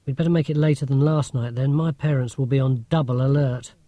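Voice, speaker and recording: A man's voice: medium pitch, steady tone, a little fast, mellow, no echo, natural voice. A man voicing a cheeky, whiny, awkward schoolboy in a children's audiobook. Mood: anxious.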